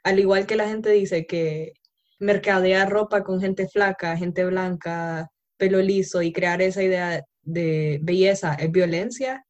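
A woman's voice: lowish voice, fairly even intonation, a little slow, lo-fi sound. A young woman with a Honduran Spanish accent, earnestly explaining and arguing her point in a casual conversation. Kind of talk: casual conversation on social issues.

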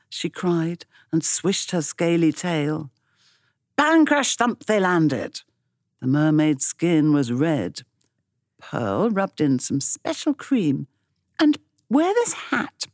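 A woman reading a rhyming picture book aloud in an animated, expressive storytelling way. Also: low voice, no room sound, studio quality.

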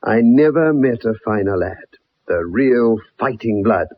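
A genial, respectable British gentleman for radio drama, polite and earnest. His delivery is very animated, with some huskiness. The recording is old, dull and lo-fi. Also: mid-range voice, a little slow, breathy edge. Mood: eager, earnest.

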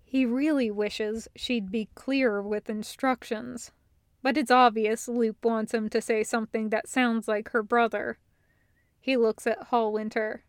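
A woman reading a story as scripted narration, with measured, deliberate phrasing. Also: on the high side, level tone, clear, resonant tone, no echo, clean studio sound. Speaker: audiobook-style fiction reader.

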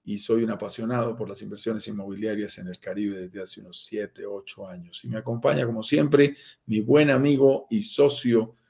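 A Colombian man hosting a live stream, pitching property investment in an upbeat sales manner with frequent fillers. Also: steady tone, normal speed, gravelly, a little mellow. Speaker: host, sales director of a property firm.

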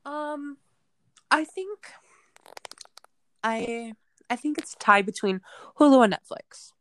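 A woman's voice: sits high, lively intonation, slow. A woman with a Minnesotan accent co-hosting a podcast in casual, giggly chat, often trailing off with "like". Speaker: podcast co-host.

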